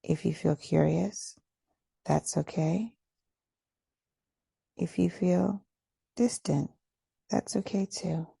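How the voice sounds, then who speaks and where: Low, very soft husky woman guiding meditation. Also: no echo. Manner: slow, gentle, deliberate, with long pauses. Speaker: guided meditation teacher. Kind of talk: guided meditation, soft and soothing.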